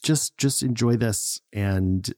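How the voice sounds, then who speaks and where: A man's voice: fairly low pitch, slow pace, darkish tone. A man hosting a comedy podcast, rambling and self-mocking, laughing often between jokes. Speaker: podcast host. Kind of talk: casual comedy podcast chat.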